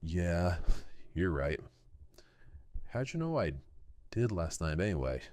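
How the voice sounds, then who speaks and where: A man playing a gruff, sardonic military man in an audio drama, terse and deadpan. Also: sits low, slow, heavily acted. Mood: wary, terse.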